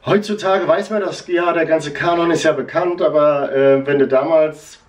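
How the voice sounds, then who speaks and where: A man's voice: on the high side, fairly even intonation, normal speed, far from the mic. A middle-aged man with a northern German accent, presenting new merchandise from his comic shop in a casual, rambling way with many fillers and restarts. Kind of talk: casual product chat. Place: shop room with posters, indoors. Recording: roomy, from off the mic.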